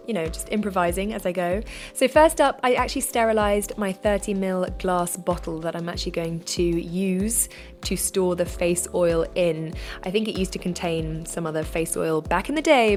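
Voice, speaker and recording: A woman with a slightly croaky voice, chatting cheerfully and self-deprecatingly through a how-to vlog. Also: medium pitch, darkish tone. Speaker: vlogger.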